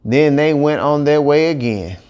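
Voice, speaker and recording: A man tells a children's tale in a folksy Cajun accent. His voice sits fairly high for a man and moves around a lot in pitch, with a colloquial, animated storyteller's delivery. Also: moderate pace, smooth, muffled recording. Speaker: audiobook narrator.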